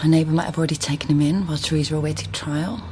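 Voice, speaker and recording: An actress playing a shrewd, guarded genealogist with a wistful inner side in a naturalistic radio drama. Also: female voice, deep voice, steady tone, very fast, darkish tone, lightly acted. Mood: brisk, thoughtful.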